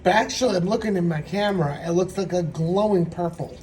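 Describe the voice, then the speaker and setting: A man with a hoarse, troubled throat giving a meandering, reflective spiritual tarot reading. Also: sits high, noisy background. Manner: meandering, reflective, slow-spoken. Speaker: tarot reader.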